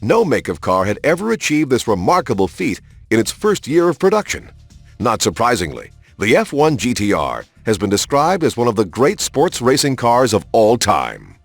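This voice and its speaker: A man reading scripted promotional documentary narration in a polished, measured announcer style, as for a car showcase in a video game. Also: medium pitch, wildly swooping pitch, gravelly, no room sound. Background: prominent background music.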